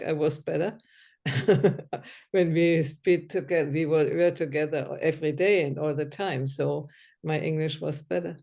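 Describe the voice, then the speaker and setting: A woman with a soft, mellow tone, German being her first language, talks in a relaxed group conversation. She speaks hesitantly, restarting sentences and hunting for the right words. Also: sits low. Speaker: discussion group member. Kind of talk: casual conversation.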